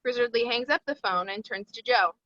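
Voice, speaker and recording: A woman's voice: a little high, brisk, no room sound, highs cut off. A woman narrating stage directions at a screenplay table read.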